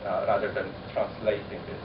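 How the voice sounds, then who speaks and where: Man, Polish native, lower voice; reflective panel answer, halting; distant echoey room recording, dull and noisy. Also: level tone. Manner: thoughtful, halting, circling back to rephrase. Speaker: poet-translator. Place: university common room.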